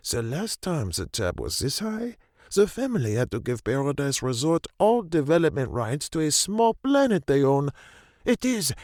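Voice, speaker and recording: A man's voice: medium pitch, darkish tone, husky, no room sound, studio quality, exaggerated voice. An actor playing a deferential male waiter at a luxury resort, formal, obsequious and hesitant.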